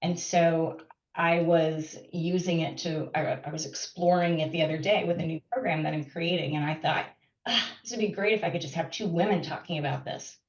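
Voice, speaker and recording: Woman co-hosting a call, low voice, far from the mic in an echoey room, muffled. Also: fairly even intonation, normal speed. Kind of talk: conversational remarks on a call. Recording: distant, roomy, dull call audio.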